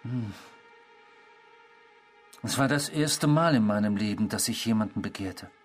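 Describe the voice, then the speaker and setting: An actor playing a smug, remorseless criminal confessing to a stranger in a German radio drama, speaking in a measured, provocative, self-satisfied way. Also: male voice, fairly low pitch, faint music behind. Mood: calm, unrepentant.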